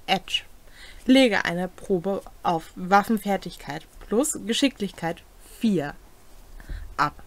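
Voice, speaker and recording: A young woman reads game rules aloud in a casual setting. She takes it slowly, item by item, in a medium-pitched voice. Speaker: co-host presenting game rules. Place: small home room, indoors.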